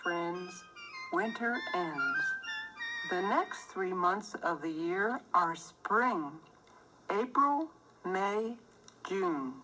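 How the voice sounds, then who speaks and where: A woman reading a rhyming children's storybook aloud, phrasing it deliberately and clearly as scripted narration. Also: medium pitch, bright, forceful tone, slight background noise, rough recording. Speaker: storybook reader.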